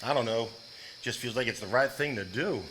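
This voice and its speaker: A man's voice: mid-range voice, fairly quick, fairly bright tone, lightly acted. An actor playing an earnest, plain-spoken man in a live stage drama. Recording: noisy live stage recording, insects.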